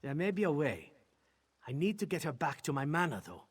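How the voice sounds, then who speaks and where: Male game vampire: husky voice, guarded and curt, grimly urgent. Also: on the high side, sing-song, fairly quick. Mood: grim warning. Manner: blunt, ominous. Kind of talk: video game dialogue.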